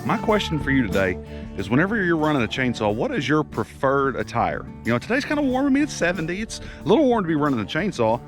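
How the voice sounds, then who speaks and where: A young man with a Kentucky accent, hoarse from a head cold, chatting easily to camera outdoors by a farm pond. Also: on the high side, huge pitch swings, quick pace, music in the background. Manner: easygoing, rambling, self-deprecating. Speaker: farm vlogger. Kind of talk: casual vlog talk to camera.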